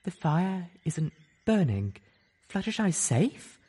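A man voicing a gentle young female unicorn who speaks softly and pleadingly. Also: a little high, big pitch swings, breathy edge, pitched above the performer's own voice, exaggerated voice. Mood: fragile. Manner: soft, pleading, halting.

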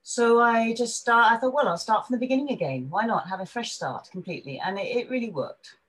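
A woman's voice: a little high, brisk, breathy edge, low-quality recording. An older woman from England with an English accent, a guest chatting casually and laughing easily as she tells her story over a video call. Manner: chatty, laughs easily, frequent restarts, animated when quoting others.

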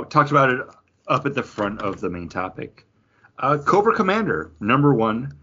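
A man with an average-pitched voice talks as a podcast host reviewing things he likes. He is informal and emphatic, with open enthusiasm in a casual chat style. The audio is a bit dull and lo-fi. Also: bright, forceful tone.

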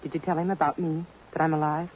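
An actress playing a poised, independent young society woman, composed and gently reproving, in a 1950s radio drama. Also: deep voice, little pitch movement, quick pace, close to an everyday voice. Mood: cool, reproving. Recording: dull, noisy old radio recording.

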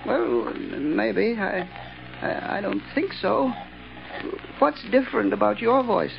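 A man's voice: high voice, sing-song, takes it slowly, gravelly, heavily acted. An actor playing an unhinged, murderous night watchman in an old radio drama, stammering and giggling in a nervous, childlike way. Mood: agitated, giggly. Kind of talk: 1940s radio acting. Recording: muffled old-time radio recording.

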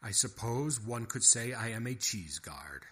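A man voicing a formal, deadpan male security guard who speaks in precise, stiff sentences. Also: medium pitch, measured pace, breathy edge, voice pushed up.

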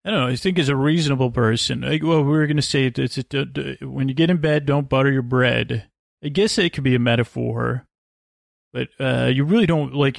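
A man's voice: mid-range voice, creaky, dry recording, studio quality. A man hosting a bedtime podcast in a meandering, stammering monologue, with a mumbling, mush-mouthed delivery. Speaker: podcast host. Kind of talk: rambling, tangent-filled bedtime podcast monologue.

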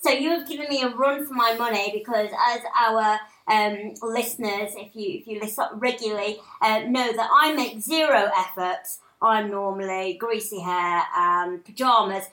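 A woman hosting a casual podcast chat, talkative and self-deprecating, with frequent giggles, recorded in a small flat. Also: mid-range voice, normal speed, few pauses, roomy sound, poor audio. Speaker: podcast host.

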